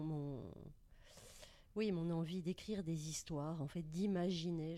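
A woman's voice: low-pitched, mellow. A woman, chatting and reminiscing in a round-table discussion.

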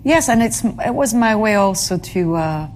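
A woman being interviewed about her acting career, speaking haltingly and often pausing to search for the right English word. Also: mid-range voice, lively intonation, darkish tone, slight background noise. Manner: halting, searches for English words, many fillers. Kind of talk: reflective interview answers.

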